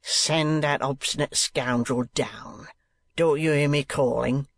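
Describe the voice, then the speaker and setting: A man voicing a cruel, blustering middle-aged schoolmaster who sneers and bullies in a slow, menacing way. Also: fairly high pitch, fairly even intonation, creaky, no echo. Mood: angry. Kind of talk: audiobook character voice.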